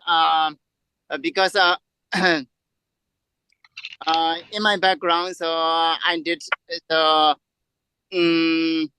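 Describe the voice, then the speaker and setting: A man with a Burmese accent speaks in a fairly high, crisp voice, close and dry. His delivery is halting, with throat clearing, as he puts a question to an online information session. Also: sharp tone, dry recording, rough recording. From Burma. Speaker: scholarship applicant asking a question. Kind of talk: hesitant question in an online meeting. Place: virtual meeting on a video call.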